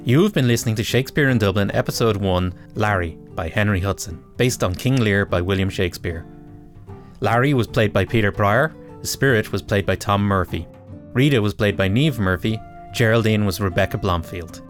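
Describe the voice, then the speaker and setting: Low-pitched male radio announcer over guitar. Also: moderate pace. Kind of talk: scripted credits read. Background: guitar music.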